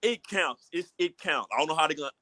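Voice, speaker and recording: A man's voice: a little high, expressive, quick pace, sharp tone, no echo. An American man co-hosting a podcast, talking casually in an emphatic, slangy way and repeating himself. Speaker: podcast co-host. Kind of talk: casual banter about comic book films.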